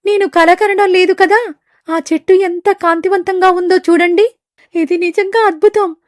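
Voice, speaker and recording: Small cartoon bird as a woman performs it, very high voice, earnest, exclamatory, awed, dry sound. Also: fairly even intonation, normal speed, dry recording. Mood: amazed. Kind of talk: animated moral story dialogue.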